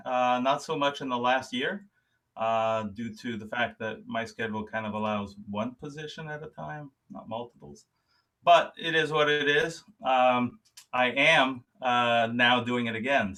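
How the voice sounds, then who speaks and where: Bright-voiced man, a bit off-mic. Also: medium pitch, far from the mic. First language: German. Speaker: meeting chair and coordinator. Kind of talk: informal self-introduction in an online meeting.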